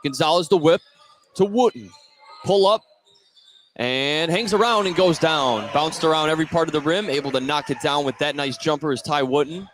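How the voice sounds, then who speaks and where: A man's voice: fairly high pitch, moderate pace, a bit bright. A man doing live basketball play-by-play commentary on the radio. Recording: gym ambience noise behind commentary. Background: sports gym ambience.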